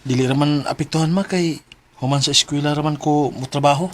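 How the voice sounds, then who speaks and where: A man's voice: on the high side, steady tone, brisk, close to an everyday voice. An actor with a Cebuano Filipino accent playing a devoted, emotive young male student in a radio drama. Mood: earnest. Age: young adult. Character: devoted young male student, working to help his ailing mother.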